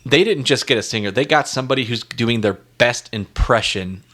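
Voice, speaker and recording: A man co-hosting a casual music discussion, halting and repeating himself for emphasis. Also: mid-range voice, a bit bright. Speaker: music podcast co-host.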